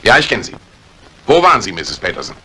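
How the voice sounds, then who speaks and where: An actor playing a brusque, authoritative police inspector in a 1950s German radio crime drama, questioning and giving orders deliberately. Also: male voice, medium pitch, huge pitch swings, sharp tone. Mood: probing, curt. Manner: probing, commanding, deliberate. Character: brusque, authoritative middle-aged male police detective inspector. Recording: noisy vintage 1950s radio drama recording.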